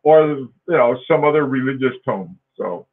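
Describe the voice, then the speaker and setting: A man's voice: mid-range voice, lively intonation, moderate pace, slightly rough. Man on a tinny call line. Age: 40s. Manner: rambling, laughing often, with many fillers and restarts. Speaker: host. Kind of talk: casual live-stream chat.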